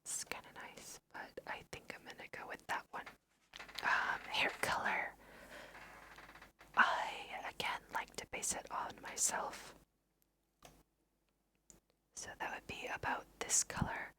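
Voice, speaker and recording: A woman softly whispering ASMR game commentary, thinking aloud in an unhurried way as she guides listeners through her play. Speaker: let's play host.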